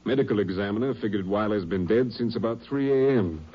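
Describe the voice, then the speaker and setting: An actor playing a grim, determined Texas Ranger captain in an old radio crime drama, stern and commanding. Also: male voice, fairly low pitch, brisk, close to an everyday voice. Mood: businesslike. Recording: muffled, hissy old radio recording.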